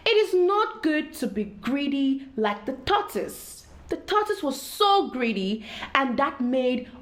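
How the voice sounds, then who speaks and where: A woman reading a story aloud to children in an expressive storytelling style, addressing young listeners directly. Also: high voice, slow pace.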